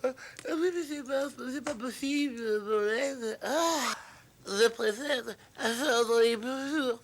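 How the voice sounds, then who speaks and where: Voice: mid-range voice, slow pace, slight background noise. An actor voicing a genteel, weary and chatty water rat in a cartoon dub, muttering and fussily protective. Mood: evasive.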